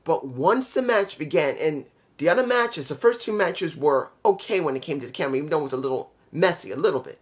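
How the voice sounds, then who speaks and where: A man's voice: on the high side, animated, quick pace, few pauses. A man with a New York accent, reviewing a wrestling show in a casual, rambling, blunt way, often repeating himself. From New York City. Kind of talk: casual solo video review.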